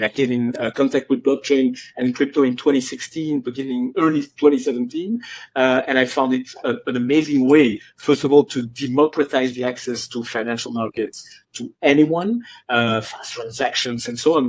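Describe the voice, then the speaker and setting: A man's voice: medium pitch, no echo, low-quality recording. A man from Belgium with a French accent, speaking as a podcast guest in a casual, hesitant way with many fillers. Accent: French-accented English. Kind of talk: casual interview.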